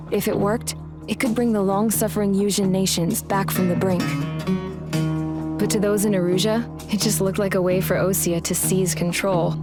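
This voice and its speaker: A woman's voice: medium pitch, natural voice. An actress playing a world-weary female pilot, narrating a war in the first person with a reflective, wry, matter-of-fact manner. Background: music with guitar.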